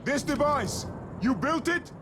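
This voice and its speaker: Earnest young soldier, very animated. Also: male voice, extremely high pitch, a little slow, noisy background, heavily acted. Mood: earnest, curious. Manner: terse, earnest. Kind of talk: video game cutscene acting.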